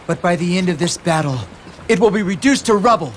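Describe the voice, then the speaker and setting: An actor playing a battle-hungry warlord in a video game cutscene, declaiming commands. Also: male voice, high-pitched, lively intonation, fairly quick, slight background noise. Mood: scornful, menacing. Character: bold, battle-hungry male warlord set on conquest.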